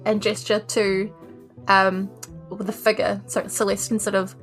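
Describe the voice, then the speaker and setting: A woman's voice: medium pitch, flat delivery, measured pace, faint music behind, low-quality recording. A woman with a New Zealand accent casually describing actions as a player in a tabletop roleplay game.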